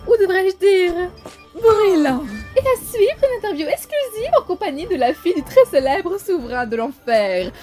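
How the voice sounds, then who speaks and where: Casting: snide, condescending demon news anchor, very high lively voice. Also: female voice, normal speed, music in the background. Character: rich, cruel woman TV news anchor demon.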